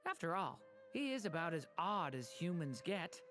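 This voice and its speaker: Plain-spoken young woman, game role: thoughtful delivery with wide melodic swings, soft music under. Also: fairly low pitch, very animated, moderate pace. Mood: thoughtful, matter-of-fact. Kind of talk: video game voice acting.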